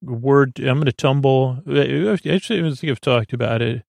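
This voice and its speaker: A man hosting a bedtime podcast in a creaky, soothing voice, rambling through improvised tangents with mumbles and stumbles over his words. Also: medium pitch, normal speed, a little mellow, no room sound. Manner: soothing, lulling delivery. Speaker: podcast host.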